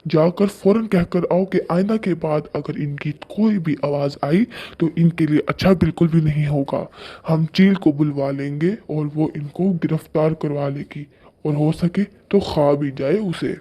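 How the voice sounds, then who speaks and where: A woman voicing a grumpy, lazy male crow husband in a children's moral story, complaining and demanding in a husky, muffled voice. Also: deep voice, unhurried, warm tone, voice pushed down. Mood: grumpy, threatening.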